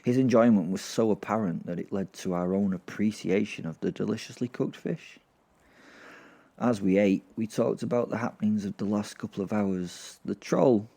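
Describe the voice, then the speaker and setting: A man's voice: on the low side, warm tone. A man telling a story as podcast host, in an informal, rambling way. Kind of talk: first-person dramatic fantasy narration.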